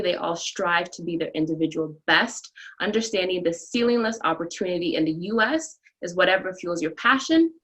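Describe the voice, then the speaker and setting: A woman's voice: fairly low pitch, poor audio. Upbeat woman podcast host. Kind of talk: interview with a scripted guest introduction.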